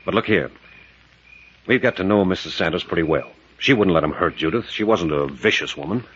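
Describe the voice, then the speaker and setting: An actor playing a brave, rugged captain in a 1940s adventure radio play, clipped and plain-spoken. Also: male voice, sits low, animated, brisk, fairly bright tone, slightly rough, heavily acted. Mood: uneasy, earnest. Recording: dull, noisy 1940s radio recording.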